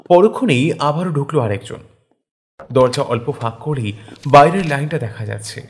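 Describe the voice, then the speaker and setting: A man giving a scripted narration of a story. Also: mid-range voice. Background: background music.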